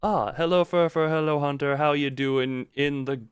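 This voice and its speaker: A man's voice: a little high, steady tone, normal speed, smooth, no echo, studio quality. A man chatting casually and goofily as a game streamer, rambling and often interrupting himself. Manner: goofy, rambling, self-interrupting. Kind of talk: casual livestream chat while setting up a game.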